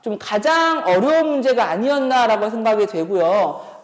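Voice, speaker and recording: A woman's voice: on the high side, darkish tone. A woman teaching as a math instructor, explaining exam problems step by step and posing rhetorical questions as she thinks aloud. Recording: reverberant room.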